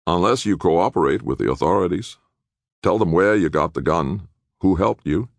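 A cold, impatient young male lawyer played by a male narrator in an audiobook. He speaks low and curt, with accusing, disapproving emphasis and a gravelly edge. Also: lively intonation, moderate pace, no echo.

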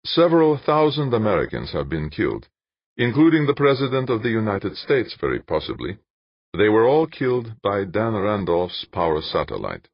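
A man voicing a smug, menacing male captor who speaks slowly and condescendingly. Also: lowish voice, huge pitch swings, slightly rough, dry recording, muffled recording, voice pushed up, full character voice.

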